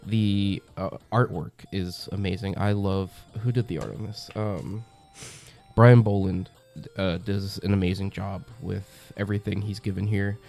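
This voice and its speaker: Congested young guy, deep gravelly voice, chatty comic review host, lots of ums, soft music behind. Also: steady tone, a little slow. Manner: chatty, enthusiastic, many ums and uhs. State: congested from a cold. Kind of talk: casual review monologue.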